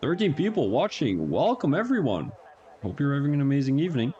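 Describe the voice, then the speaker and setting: A man's voice: a little high, big pitch swings, fairly quick, dark tone, highs cut off. A young man from Belgium with an Antwerp Flemish accent, chatting casually and rambling as a racing livestream commentator, chuckling and correcting himself as he goes. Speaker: race commentator.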